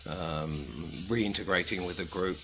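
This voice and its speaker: A man's voice: low voice, fairly even intonation, heavy background noise. A man from Australia with a British accent, hosting a casual livestream and greeting viewers, hesitant and in a subdued mood. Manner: halting, hesitant, many pauses and restarts. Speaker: livestream host of a carving group.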